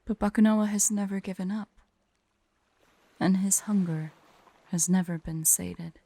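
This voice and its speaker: Woman acting as female dragon, ASMR roleplay, proud and wistful, formal diction, hushed breathy drops, soft dark tone, close dry recording. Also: medium pitch, steady tone, unhurried, dry recording. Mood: solemn storytelling. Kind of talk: ASMR roleplay with slow myth storytelling.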